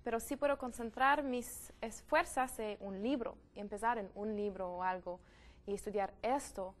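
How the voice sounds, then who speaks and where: A woman's voice: on the high side, normal speed. A woman from Canada, a Bible worker, speaking Spanish as a with awkward grammar on a discussion panel. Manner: non-native Spanish with odd grammar, thoughtful.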